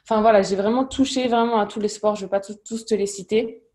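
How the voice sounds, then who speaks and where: A woman in her thirties with a southwestern Toulouse accent, heard over a video call. Her voice is mellow and warm, and her mid-pitched delivery is lively, full of small hesitations and restarts. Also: fast talker. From southwest France, near Toulouse. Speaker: guest, sports coach. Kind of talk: casual remote interview.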